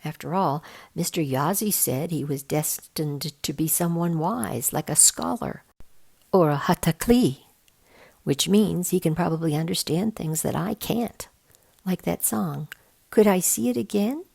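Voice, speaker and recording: A woman reading a children's novel aloud in an expressive storytelling style. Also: sits low, measured pace, vocal fry, husky. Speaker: volunteer reader of a children's book.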